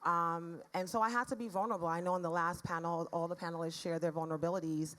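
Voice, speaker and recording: A raspy-voiced woman from the Caribbean, telling a personal story as a panelist in an earnest, frank way. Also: on the low side, steady tone, fast, a bit bright. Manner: earnest, frank, speaks of faith.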